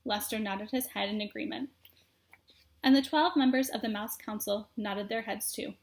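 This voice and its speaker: A woman's voice: mid-range voice, normal speed. A woman narrating a children's storybook expressively, as someone reading aloud to young listeners. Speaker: storybook reader.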